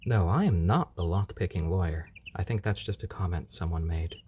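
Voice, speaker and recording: A man's voice: sits low, moderate pace, darkish tone, slight background noise. A man hosting a casual live stream, chatting easygoingly with viewers and cooing over his baby chicks at home.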